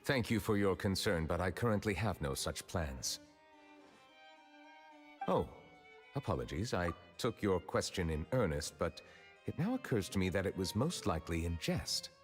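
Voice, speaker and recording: An actor voicing a grave, courteous male chief justice in a video game, soothing, formal, earnest and measured. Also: low-pitched, very animated, faint music behind.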